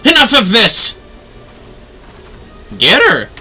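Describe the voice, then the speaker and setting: Man putting on a high, theatrical bandit villain. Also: wildly swooping pitch, takes it slowly, sharp tone, breathy edge, soft background music, heavily acted. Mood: alarmed, angry. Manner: theatrical, declamatory. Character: haughty bandit villain shouting threats and commands. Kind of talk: dramatic video game dialogue read-out.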